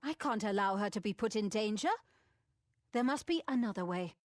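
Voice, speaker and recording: A woman's voice: medium pitch, expressive, normal speed, dry recording, natural voice. A woman playing a stern, resolute abbess with an authoritative, formal manner in a fantasy video game. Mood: protective. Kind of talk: scripted video game dialogue.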